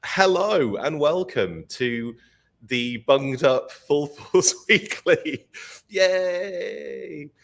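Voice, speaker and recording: A man hosting a live podcast stream, his voice croaky from illness, chatty and laughing often. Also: fairly high pitch, expressive, extremely slow, slight background noise. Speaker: podcast host. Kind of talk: casual live-stream chat.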